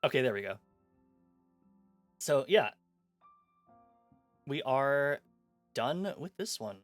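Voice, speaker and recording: A man streaming a visual novel, reading its text aloud and chatting between scenes in an animated, self-mocking, jokey way. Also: mid-range voice, takes it slowly, no room sound, soft background music. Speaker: game streamer. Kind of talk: live-stream chat, reading a game aloud.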